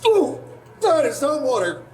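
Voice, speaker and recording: A young woman playing an anxious, apologetic struggling writer in her twenties in a radio play, halting and stammering. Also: high voice, very animated, a little mellow, slight background noise. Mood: frantic, apologetic. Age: young adult. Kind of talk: naturalistic radio play acting. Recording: roomy echo, music behind.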